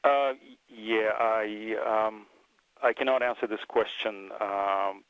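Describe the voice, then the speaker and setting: A man with a lowish, very even voice answers questions as an engineer on a webinar. He is measured and halting, often searching for his words. The sound is dry and a bit dull, like an online call. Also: clean tone, no room sound. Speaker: presenting research engineer.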